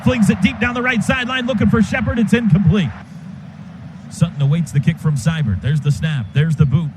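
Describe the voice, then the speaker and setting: A man doing live radio play-by-play of a football game in clipped, rapid calls that burst into shouts on big plays. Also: sits high, steady tone, noisy background. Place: stadium radio booth.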